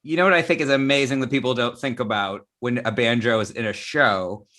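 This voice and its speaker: A man hosting a casual chat, explaining things in an animated, emphatic way. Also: mid-range voice, fairly quick.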